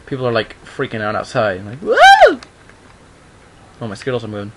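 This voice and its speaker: Low-voiced young man, noisy home recording. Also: brisk. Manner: informal, breaks off into offhand remarks. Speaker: online video maker reading a horror story aloud. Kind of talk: amateur dramatic reading with casual asides.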